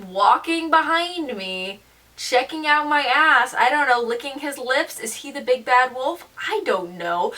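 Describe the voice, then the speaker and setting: A teenage young woman vlogging in a casual, slangy storytelling style. Her voice is high and bright, and she delivers things with animated emphasis and mimicked asides. She sounds a little way off from the microphone. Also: recorded from a distance. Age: teens, seventeen.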